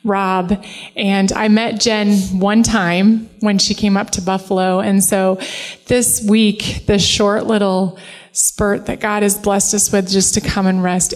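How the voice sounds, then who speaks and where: Slow-talking woman with a halting manner. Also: mid-range voice. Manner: warm, laughs often, halting. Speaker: guest pastor. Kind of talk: casual chat. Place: church sanctuary.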